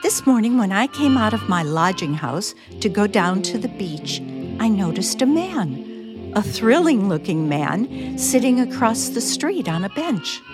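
A woman playing a shy, naive, romantic forty-year-old spinster in a radio play, excitable and easily flustered. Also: mid-range voice, unhurried, over music. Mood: thrilled. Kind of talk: radio play acting, diary narration and dialogue.

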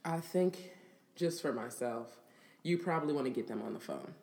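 A woman co-hosting a podcast, giving blunt dating advice in an animated, casual way. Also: sits low, normal speed. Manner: animated, blunt, acts out quoted lines. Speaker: podcast co-host. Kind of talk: casual chat. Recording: echoey room with long reverb.

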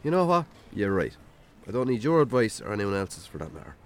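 An actor playing a weary, defensive middle-aged Irish farmer who drinks too much, curt and evasive, in naturalistic radio drama. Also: male voice, fairly low pitch, big pitch swings, fairly quick, vocal fry, a little hiss or hum. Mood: angry, defensive.

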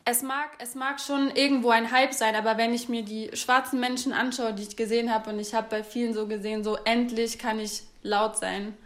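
A woman's voice: a little high, flat delivery, brisk, few pauses. A young woman from Germany speaking spontaneously and personally as a talk participant. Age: young adult.

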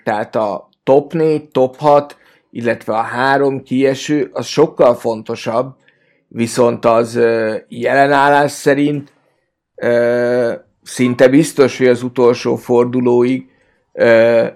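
A man in a casual podcast discussion, speaking in a hesitant, halting way and often repeating words. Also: medium pitch, a little mellow. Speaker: football fan podcast guest.

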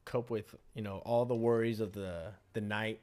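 A man's voice: on the low side, steady tone, moderate pace, a little mellow. A man co-hosting a casual talk show, rambling loosely with swearing and joking asides. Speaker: podcast co-host. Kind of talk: casual chat.

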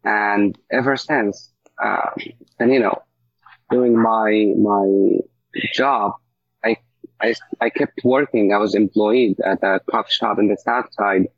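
Slow, low-voiced Syrian man, muffled audio. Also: steady tone, dry recording. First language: Arabic. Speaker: coffee shop owner, interview guest. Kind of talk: casual personal storytelling.

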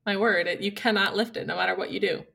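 A woman's voice: medium pitch, fairly even intonation, fast, vocal fry, sharp tone, slightly rough. A young adult woman co-hosting a casual family chat, playful and teasing, laughing often. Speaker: co-host, adult daughter.

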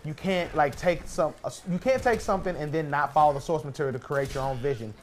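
A man's voice: on the high side, fast talker, slight background noise. A man speaking as a podcast co-host in a casual debate, insistent and repeating himself for emphasis.

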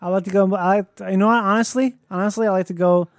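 A man with a high voice trades jokes and fake tough-guy jabs in casual podcast banter. The sound is dry and close to the mic, with no room around it. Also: fairly even intonation, fast, dry recording. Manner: wisecracking, teasing, fake tough-guy bluster. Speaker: podcast guest. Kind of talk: joking banter.

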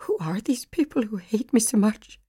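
A woman's voice: a little high, big pitch swings, normal speed, warm tone, breathy edge, no room sound, studio quality, pitched above the performer's own voice, exaggerated voice. A hurt young actress, played by a woman narrator, pleads indignantly in an audiobook story. Her voice climbs well above ordinary narration. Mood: distraught, indignant.

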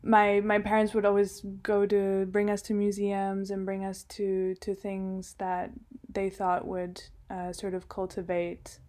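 A young woman raised in Switzerland talks through her answers in a mellow, warm voice. Her pitch stays fairly flat, and she pauses often with fillers and restarts her sentences as she works out what she wants to say. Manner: hesitant, many fillers and restarts. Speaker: guest, designer. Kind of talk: casual interview answers.

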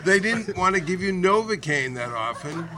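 A man's voice: high-pitched, expressive, moderate pace. A man from Boston, telling reflective stories and explaining his point at length in a casual group chat. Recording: noisy crowded room.

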